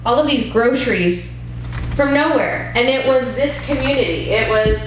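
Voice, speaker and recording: A woman's voice: a little high, expressive, moderate pace, slight background noise. Woman telling her story in an echoey hall. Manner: earnest, conversational, frequent ums. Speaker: women's group leader. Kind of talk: personal testimony talk. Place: church hall. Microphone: wireless mic that cuts out.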